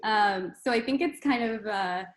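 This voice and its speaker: A woman's voice: medium pitch, measured pace. A woman hosting and moderating an online panel, recorded with a roomy, echoing sound. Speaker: panel host, community manager.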